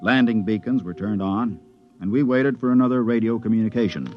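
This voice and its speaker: Man playing a low, world-weary veteran spaceman. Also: brisk, faint music behind, close to an everyday voice. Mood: brooding narration. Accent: American. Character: battle-scarred, world-weary veteran spaceman narrating his story. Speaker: narrator and lead character. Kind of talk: 1950s radio drama narration and acting. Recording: muffled vintage radio recording.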